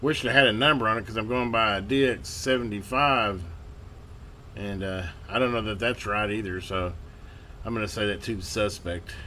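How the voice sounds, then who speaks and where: A man giving casual hands-on commentary as a hobbyist host, halting and muttering to himself as he works. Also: lowish voice, steady tone, bright, forceful tone, slight background noise. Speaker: vintage radio hobbyist hosting a repair video.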